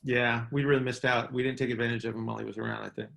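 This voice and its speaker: Gravelly man coaching on a call. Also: mid-range voice, little pitch movement, rapid-fire, a bit bright. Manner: explanatory, emphatic word repetition, frequent "right?" check-ins. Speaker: coach hosting a group conference call. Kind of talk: casual coaching talk.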